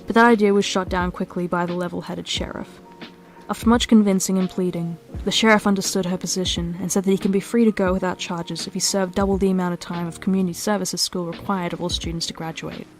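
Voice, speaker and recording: A woman's voice: fairly low pitch, steady tone, fast, lightly acted. A woman narrating a scripted story as the narrator of an audio drama. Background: faint music.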